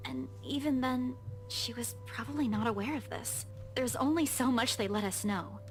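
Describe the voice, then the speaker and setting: An earnest young woman in a visual novel, with a fairly high voice. She is frank and bluntly teasing, in a game-dialogue delivery. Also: moderate pace, soft background music. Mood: guarded, troubled.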